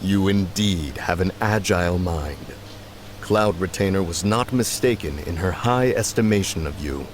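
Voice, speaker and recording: Deep, pompous ancient immortal played by a man. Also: normal speed, slight background noise, close to an everyday voice. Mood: composed. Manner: stately, archaic, formal, pompous. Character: ancient, haughty, dignified male adeptus in human form. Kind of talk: English video game dub acting. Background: background music.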